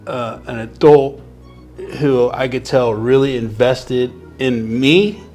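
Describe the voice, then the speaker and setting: A man's voice: mid-range voice, slow pace, darkish tone, faint music behind. Man, from Texas, earnest, reflective, mid-range voice. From Houston, Texas. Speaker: substitute teacher being interviewed. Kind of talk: reflective interview answers.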